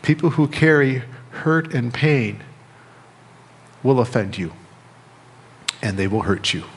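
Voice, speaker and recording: A middle-aged American man preaching a sermon as a pastor, speaking in measured phrases with pauses and repetition. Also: mid-range voice, lively intonation, slightly rough. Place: church sanctuary, indoors at a lectern. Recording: reverberant church hall.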